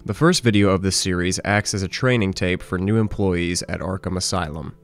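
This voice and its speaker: Deep-voiced male video essay narrator. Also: brisk, faint music behind. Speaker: host, horror series reviewer.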